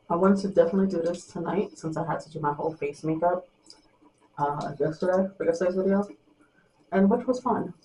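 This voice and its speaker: A young woman vlogger in a small room at home, talking softly and rambling to the camera at night, sometimes losing her train of thought. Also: a little hiss or hum, poor audio. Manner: soft and low-voiced at night. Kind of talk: casual late-night chat to camera.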